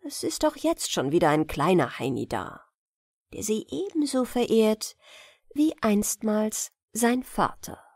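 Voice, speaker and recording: A woman reading fairy tales as an audiobook narrator, with an expressive storytelling delivery. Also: mid-range voice, unhurried, dry recording, clean studio sound. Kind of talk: bedtime fairy-tale reading, scripted narration.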